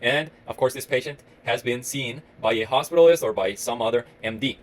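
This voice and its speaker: A man in his thirties from Costa Rica with a Costa Rican Spanish accent, a medical resident giving an earnest, rambling talk to camera with many pauses and restarts. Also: medium pitch, slight background noise. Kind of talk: solo reflective talk to camera.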